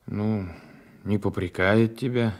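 An actor playing a self-assured gendarme, a secret lover, in a measured, restrained radio play manner. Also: male voice, fairly low pitch, fairly even intonation. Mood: probing. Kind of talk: 1980s radio play acting.

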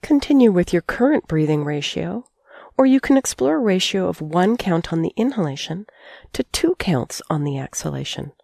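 A woman with a low, mellow voice leads a guided sleep meditation. She sounds calm and soothing, placing her phrases in a measured way with long pauses. The recording is very dry and close, with no room sound. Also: vocal fry, dry recording. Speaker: meditation teacher.

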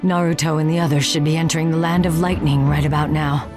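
Stern, deep-voiced woman commander played by a woman. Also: flat delivery, fast, over music, close to an everyday voice. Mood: grave, commanding. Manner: authoritative, grave. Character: stern, commanding, weary woman village leader. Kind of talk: anime game dub acting.

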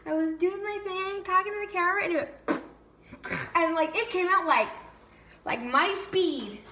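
Chatty young woman, high voice, roomy kitchen. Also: a little slow, sharp tone. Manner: chatty, lots of "like" and "um", self-mocking. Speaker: vlogger. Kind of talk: casual baking vlog chat. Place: home kitchen, indoors. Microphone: camera mic, from across the room at times. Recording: roomy kitchen sound from off the mic.

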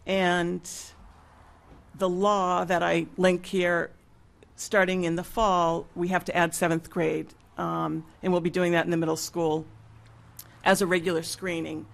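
A woman giving an informal spoken report at a public school meeting, hesitant and pausing mid-sentence. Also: on the low side, flat delivery, vocal fry. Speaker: school nursing leader presenting a report. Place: mid-sized meeting room with seated public. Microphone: gooseneck table microphone.

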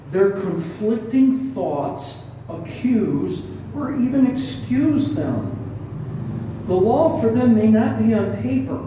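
A man in his sixties preaching an expository Bible study, reading and explaining scripture in a deliberate, teacherly way with rhetorical questions. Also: sits high, mellow, muffled recording. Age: 60s. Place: barn hall with a stage, indoors. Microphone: headset. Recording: roomy, echoing, distant sound with faint noise.

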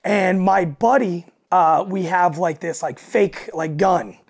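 A man with a raspy voice, talking casually as a podcast guest and telling stories with acted-out asides. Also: high voice, slow. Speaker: guest, alternative country singer and frontman.

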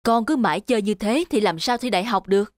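A woman's voice: medium pitch, quick pace, no echo, clean studio sound, close to an everyday voice. A woman voicing a stern, demanding middle-aged father in a cartoon, with a firm, lecturing manner. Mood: scolding. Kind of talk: cartoon dubbing.

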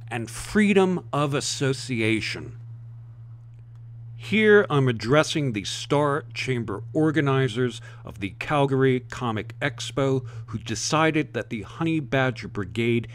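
Man, American accent, impassioned, emphatic, scripted commentary, animated pitch. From United States. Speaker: online commentator.

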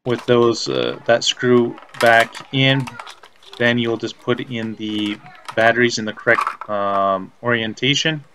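A man giving step-by-step instructional narration as a repair technician, in a halting, plain-spoken way. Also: mid-range voice, fairly even intonation, noisy background. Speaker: repair technician presenting a tutorial.

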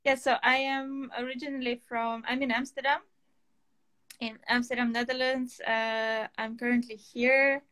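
A woman's voice: a little high, level tone, normal speed, fairly bright tone. A woman in her thirties from Estonia, an artist answering interview questions on a video call, thoughtful with many hesitations. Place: home room, indoors. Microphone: laptop webcam on video call.

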